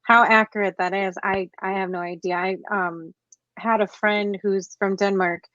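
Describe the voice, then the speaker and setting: A woman's voice: mid-range voice, steady tone, normal speed, a bit bright, no room sound. A woman chatting over a video call, stumbling through restarts and fillers as she asks her questions. Her voice sits mid-range, and the sound is dull and dry, with almost no room around it. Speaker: interviewer. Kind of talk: casual conversation.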